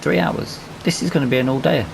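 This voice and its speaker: A man's voice: a little high, fairly quick, creaky, noisy background. A British man giving casual, unscripted let's play commentary on a video game, thinking aloud as he plays. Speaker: gaming video host. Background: farming game vehicle and ambience sounds.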